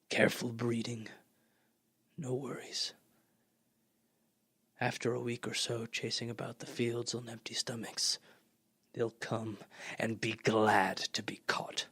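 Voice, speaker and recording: A fanatical artilleryman from a radio play, acted by a young man, with a slightly husky voice and some gravel in it. He talks colloquially and scornfully, lingering over his words with an excitable intensity that can sink low and hushed. The sound is close and dry. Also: no room sound, exaggerated voice. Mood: bitter scorn. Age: young adult. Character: fanatical, scheming artilleryman surviving an alien invasion. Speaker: student actor.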